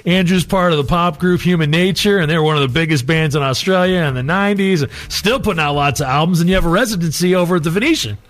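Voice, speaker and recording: A man hosting a radio interview, with an upbeat and smooth delivery. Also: fairly high pitch, fast talker, few pauses, fairly bright tone. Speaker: radio host.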